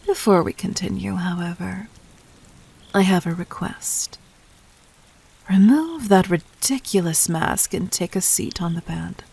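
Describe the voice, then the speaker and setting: Woman playing a slow, husky, seductive demon queen. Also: on the low side, a little mellow, heavily acted. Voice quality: breathy, husky. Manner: deliberate, condescending, teasing, formal diction. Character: poised, amused demon queen, seductive and commanding. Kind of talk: intimate roleplay audio drama.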